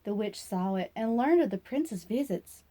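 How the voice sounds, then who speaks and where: A woman's voice: medium pitch, animated, normal speed, warm tone. A woman narrating a children's fairy tale as a storyteller, reading aloud expressively.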